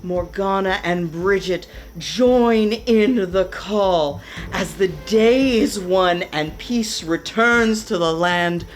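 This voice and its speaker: A woman giving dramatic, deliberate scripted narration for a radio play. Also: medium pitch, faint music behind.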